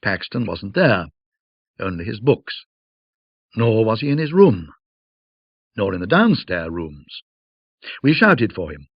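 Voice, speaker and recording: A man narrating a classic ghost story in a measured, atmospheric, old-fashioned way, with conversational asides. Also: fairly low pitch, sing-song, slightly rough, dry recording, muffled recording. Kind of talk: dramatic scripted storytelling, in an old-fashioned classic audiobook manner.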